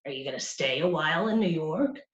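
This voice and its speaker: Woman as a streetwise male mouse, scornful know-it-all, coaxing, swooping pitch, storybook character, echoey room. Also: animated, brisk, distant mic, lo-fi sound. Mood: eager, coaxing. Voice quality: squeaky. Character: streetwise, brash little male city mouse.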